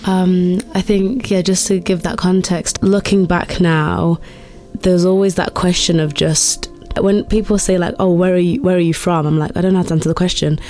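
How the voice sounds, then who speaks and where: A woman's voice: on the low side, fairly even intonation, normal speed, a little mellow, soft background music. A young woman with an English accent speaks in a level voice with little rise and fall. She is informal and candid, thinking aloud like a musician being interviewed. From England, raised in Doncaster. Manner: candid, musing. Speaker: guest, musician. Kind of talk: reflective, informal interview talk.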